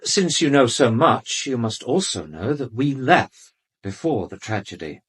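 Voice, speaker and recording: An actor playing an arrogant, urbane British doctor in a radio drama, speaking with haughty, defensive precision. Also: male voice, medium pitch, very animated, measured pace, fairly bright tone, slightly rough, full character voice. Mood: guarded, irritated. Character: arrogant, urbane male doctor under police questioning.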